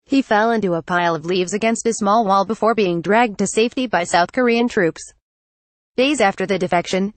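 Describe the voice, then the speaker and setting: A woman's voice: mid-range voice, dry recording. A woman reading a scripted news report aloud as a narrator.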